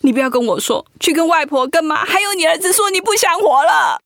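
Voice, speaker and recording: An actress playing a devoted, firm wife in a radio drama, speaking earnestly and emphatically. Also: female voice, extremely high pitch, expressive, fast, a bit bright, dry recording, clean studio sound, full character voice. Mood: firm, pleading. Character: devoted, firm wife urging on her sick husband. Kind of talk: Mandarin radio drama acting.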